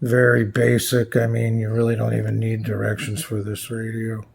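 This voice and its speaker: A man giving a casual, unscripted product walkthrough as a reviewer, plainspoken and halting as he thinks aloud. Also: fairly low pitch, monotone, noisy background. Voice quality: gravelly, husky. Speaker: radio reviewer presenting his channel.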